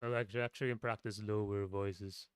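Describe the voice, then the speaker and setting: A man with a low, smooth, clear voice rambles through a casual chat, stopping and restarting his thoughts. His voice is a little tired, and it is picked up close and dry at a home computer desk. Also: fairly even intonation, moderate pace, no echo. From Philippines. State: voice tiring. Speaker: streamer.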